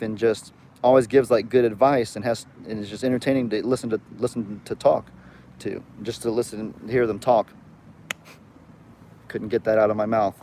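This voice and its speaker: A man's voice: medium pitch, darkish tone, slight background noise. A young man with a light Southern US accent, hosting a casual live chat and rambling as he reads viewer comments aloud. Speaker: livestream host.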